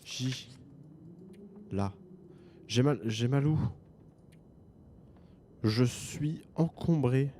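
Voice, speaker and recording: Joking French streamer, low mellow voice, faint hiss. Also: male voice, extremely slow, long pauses. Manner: joking, chatty, thinking aloud. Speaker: gaming streamer. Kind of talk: let's play commentary.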